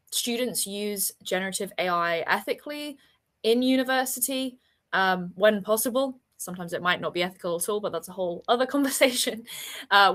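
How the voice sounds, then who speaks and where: A woman's voice: mid-range voice, a bit bright. A woman hosting a webinar over a video call, talking in a chatty, enthusiastic way and often laughing. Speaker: webinar host. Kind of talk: casual webinar chat.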